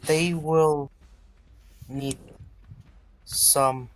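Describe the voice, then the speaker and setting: A man speaking as game master of a tabletop roleplaying game, with halting, deliberate phrasing. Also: fairly high pitch, steady tone. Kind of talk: casual game narration and chat.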